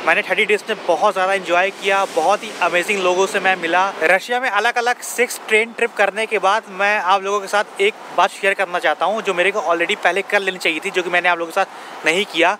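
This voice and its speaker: A man's voice: high voice, sharp tone. A young man from India vlogging to a camera held at arm's length on an outdoor lawn, explaining points earnestly and asking rhetorical questions. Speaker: travel vlogger. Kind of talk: casual travel vlog talk to camera. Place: outdoors on a grassy campus lawn. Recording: outdoor noise behind voice.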